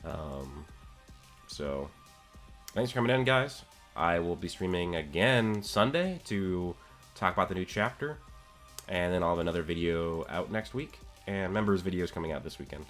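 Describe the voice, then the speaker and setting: Low-voiced man with a scratchy voice. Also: lively intonation, moderate pace, a bit bright, soft background music. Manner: answers chat questions, rambling with restarts. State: voice getting scratchy. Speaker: streamer discussing anime with chat. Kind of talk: casual live-stream chat.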